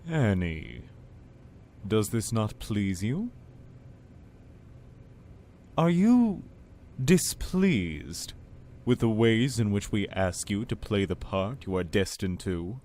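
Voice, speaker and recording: A man playing a manipulative, falsely tender cult lord in an audio drama, speaking in deliberate, condescending, formal phrases. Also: on the low side, sing-song, dark tone, full character voice. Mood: probing, pressing.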